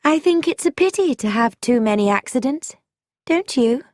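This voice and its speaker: A woman voicing a determined young noblewoman who speaks in a crisp, pointed, edgy way. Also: sits high, animated, normal speed, darkish tone, no echo, studio quality, voice pushed up. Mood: sharp, pointed.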